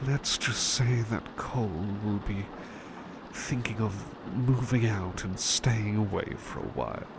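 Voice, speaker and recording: A man playing a devoted, gentle butler in a soothing ASMR roleplay, hesitant and polite, fussing and repeating himself reassuringly. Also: on the low side, creaky, background noise, exaggerated voice. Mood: soothing, tender.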